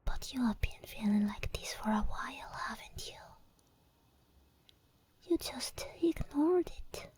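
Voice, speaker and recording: Whispered ASMR, woman acting as obsessed female sleep paralysis demon, slow pace, possessive, seductive, intimate. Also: a little high, expressive, a little mellow, exaggerated voice. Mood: taunting, intimate. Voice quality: breathy whisper. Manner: slow, intimate, lingering delivery.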